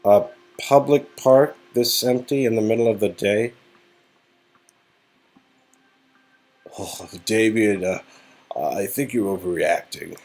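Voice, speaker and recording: A man's voice: lowish voice, measured pace, a little mellow, faint music behind. A man playing the sarcastic, exasperated hero of a story game, rambling and repeating words. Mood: nervous.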